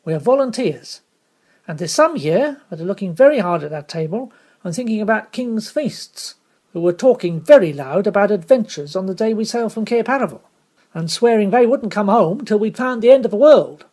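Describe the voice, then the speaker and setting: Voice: medium pitch, lively intonation, moderate pace, a little mellow, husky, voice pushed up. A reader voicing a blunt male sailor who makes a rambling, plain-spoken appeal to his shipmates. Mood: stirring.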